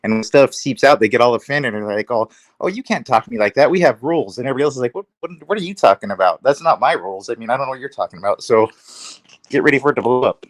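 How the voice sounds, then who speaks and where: A man's voice: mid-range voice, animated, racing, no room sound. A man on a livestream panel giving casual, opinionated commentary, restating his points as he goes.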